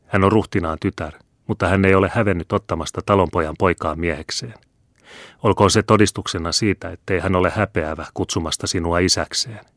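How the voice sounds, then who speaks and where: Man reading as young officer son, earnest, firm, deep voice, dry sound. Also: fairly even intonation, a bit bright, dry recording.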